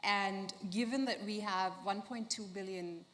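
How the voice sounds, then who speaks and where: A woman from India, an investor on a panel, speaking in a measured way with frequent "sort of". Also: mid-range voice, a bit bright. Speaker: investor, fund manager. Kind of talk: panel discussion. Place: conference hall stage.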